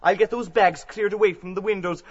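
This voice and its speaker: Hearty, exclaiming Irish gabber played by a male actor, high husky voice, audiobook drama, roomy sound. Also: steady tone, fairly quick. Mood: genial, chatty. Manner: exclamatory, colloquial, obliging. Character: Ned Lambert, hearty, chatty, cursing Dublin man with a cold. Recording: dull roomy home recording.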